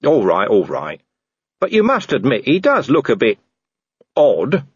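A man's voice: medium pitch, wildly swooping pitch, a little slow, husky, dry recording, highs cut off, pitched above the performer's own voice, exaggerated voice. A man voicing a weary middle-aged guard commander with a dry, sardonic manner. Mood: wry.

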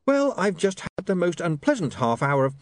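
Man putting on a high-pitched, harassed woman. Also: big pitch swings, slight background noise. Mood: weary, harassed. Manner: brisk, exasperated. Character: harassed, exasperated young woman turning away callers.

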